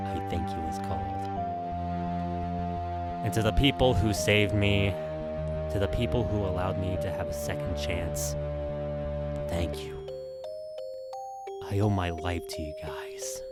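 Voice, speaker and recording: A man playing a reformed demon huntsman in a fan audio drama, speaking solemnly and haltingly, with remorse. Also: low voice.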